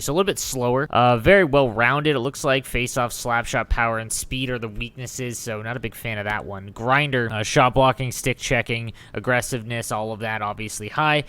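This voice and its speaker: A man's voice: mid-range voice, a bit bright, clear, resonant tone. A man hosting a gaming video, giving casual, enthusiastic commentary in an informal way. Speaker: gaming channel host.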